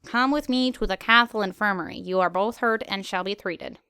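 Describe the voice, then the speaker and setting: A woman's voice: medium pitch, fairly quick, pushed and bright, clear, resonant tone, dry recording. An imperious pony princess of a fantasy storybook, performed by a woman. She speaks with stately formality and commands like royalty. The sound is close and dry. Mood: regal, insistent.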